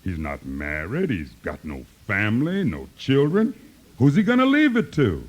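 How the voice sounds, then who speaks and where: A man's voice: mid-range voice, wildly swooping pitch, moderate pace, muffled recording. A man playing a puzzled, grumbling railroad attendant with folksy griping in a 1950s radio comedy.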